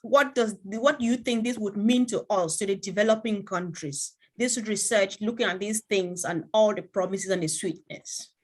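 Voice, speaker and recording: A woman's voice: mid-range voice, normal speed, few pauses. A woman from Africa hosting a panel chat, speaking to viewers in an encouraging, preacher-like way. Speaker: host.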